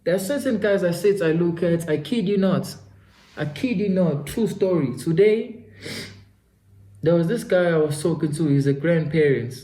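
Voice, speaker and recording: A man's voice: on the high side, lively intonation, moderate pace, warm tone. A Zulu-speaking South African man in his twenties tells an earnest story and talks straight to the listener, as if to a friend. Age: 20s. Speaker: self-development vlogger. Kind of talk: motivational storytelling vlog. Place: his home studio. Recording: roomy echo.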